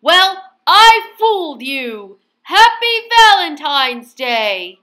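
A woman voicing a big, sleepy male bear from a storybook, who booms and teases. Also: very animated, drawn-out words, sharp tone, full character voice. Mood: teasing. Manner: booming and teasing. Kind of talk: storybook character voice.

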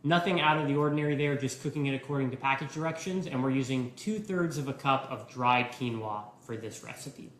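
A young man in his twenties giving a relaxed, step-by-step live cooking demonstration in a home kitchen, filmed by a camera at a distance. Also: mid-range voice, brisk, few pauses, echoey room. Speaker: cooking instructor.

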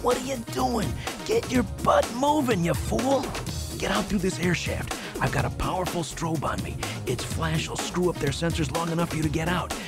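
A man playing a tough, cocky mercenary in an adventure game, gruff, urgent and commanding. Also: high-pitched, lively intonation, moderate pace, over loud music. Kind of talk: action voice acting in an adventure game.

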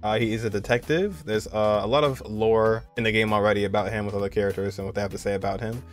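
A man's voice: lowish voice, fairly even intonation, fast. A man in his twenties giving casual, conversational commentary as the host of a game reaction video. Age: 20s.

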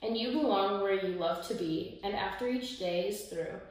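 A young woman reading a children's picture book aloud in measured, rhythmic verse, with the odd small stumble. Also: medium pitch, darkish tone. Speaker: story time reader. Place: small indoor room, seated by a window. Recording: echoey room, distant mic, faint noise.

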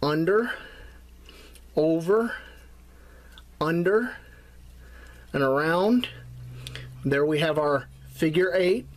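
A man's voice: a little high, lively intonation, drawn-out words, pauses often. A man teaching bushcraft knots, talking through each step while his hands tie the cord. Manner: plain step-by-step explaining while tying. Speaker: bushcraft instructor. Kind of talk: hands-on knot tutorial.

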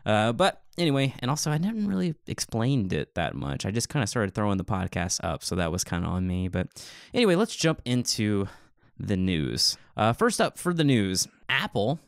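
Male podcast co-host, lowish pitch, casual chat and commentary, dry close sound. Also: very animated, moderate pace, gravelly, no echo.

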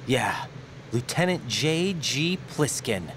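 An actor playing an earnest, naive young special-ops rookie in a video game, with a questioning manner. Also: male voice, mid-range voice, sing-song, takes it slowly, background noise. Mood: serious, uneasy. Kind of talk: video game cutscene acting.

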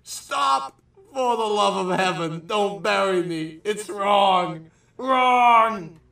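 A man's voice: high-pitched, expressive, drawn-out words, creaky, sharp tone, heavily acted. A man voicing a theatrical, ghoulish male corpse in a horror comic reading. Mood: panicked, pleading. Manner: theatrical, melodramatic. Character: gleeful ghoulish male corpse turned pleading victim.

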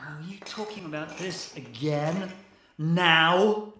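A man's voice: a little high, slow pace, pushed and bright, a little hiss or hum. A man acting in a radio play as an exasperated, sarcastic ex-army geologist. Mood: angry, exasperated. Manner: sardonic, sweary, incredulous.

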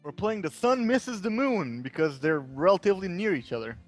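A man co-hosting a let's play, making sarcastic quick quips. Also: high voice, sing-song, soft background music. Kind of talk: casual gaming chat.

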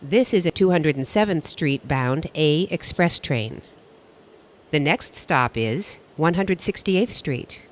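A man's voice: fairly high pitch, expressive, slow, highs cut off. A man reading scripted transit announcements as a train announcer, in formal, segmented phrasing.

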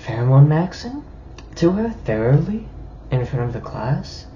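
A man's voice: a little high, very animated, unhurried, mellow. A young man talking hesitantly with fillers into a phone held at arm's length in a small bedroom. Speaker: TikToker. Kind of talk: selfie-camera monologue. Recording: lo-fi phone audio with faint noise.

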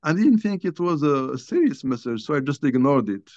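An older man from Algeria, a guest author, telling a personal story in a halting, conversational way with many restarts, recorded over a video call from a small room at home. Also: on the high side, very animated, darkish tone, no echo. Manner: halting, restarts often, many you-knows. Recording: dull lo-fi video call audio.

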